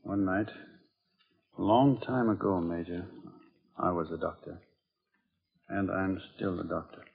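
An actor playing a stern, weary, commanding army doctor with a Southern US accent in an old film drama. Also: male voice, deep voice, fairly even intonation, a little slow, vocal fry, in a large hall. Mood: grave. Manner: forceful, clipped orders. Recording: muffled, narrow-band old film soundtrack.

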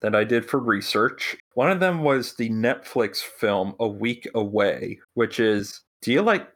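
A man hosting a podcast in a casual film-review chat, blunt and given to pauses. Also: mid-range voice, lively intonation, fairly bright tone. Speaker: podcast host.

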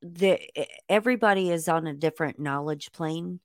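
Woman with a low, smooth, dry voice. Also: normal speed, no echo. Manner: affirming, with frequent agreeing murmurs. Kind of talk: casual podcast conversation.

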